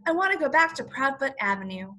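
A woman voicing a modest, homesick wife in a storybook, plaintive and gently pleading. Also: sits high, very animated, fast talker, a little hiss or hum, pitched above the performer's own voice, heavily acted.

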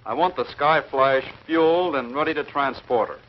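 Actor as firm, formal galactic president. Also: male voice, mid-range voice, moderate pace, natural voice. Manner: formal, curt, official. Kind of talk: 1950s television serial acting. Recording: muffled, hissy 1950s film soundtrack.

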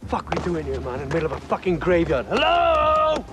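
A sneering young bully. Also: male voice, on the high side, huge pitch swings, brisk. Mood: taunting. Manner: taunting, sarcastic, relentless. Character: sneering young British man, provoking and cruel. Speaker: friend goading the other man. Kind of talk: film drama acting.

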